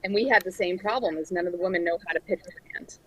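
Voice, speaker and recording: A woman from New England chatting casually, with a halting, good-humoured way of talking. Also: lowish voice, husky, poor audio. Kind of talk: casual chat.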